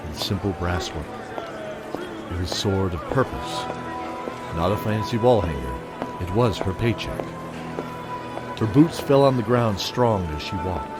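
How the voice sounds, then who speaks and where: A man giving scripted narration for a fantasy radio drama in a steady, descriptive storytelling manner. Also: sits low. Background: loud music.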